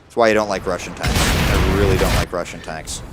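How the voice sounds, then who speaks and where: A man's voice: sits low, fairly even intonation, brisk, very noisy. A young American man giving casual, sarcastic commentary while streaming a game, grumbling about his bad luck. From United States.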